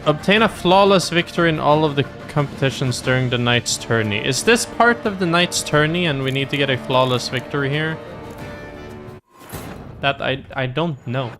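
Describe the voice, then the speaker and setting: A man's voice: medium pitch, normal speed. A man streaming a video game, chatting casually as he comments on play. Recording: game music behind voice.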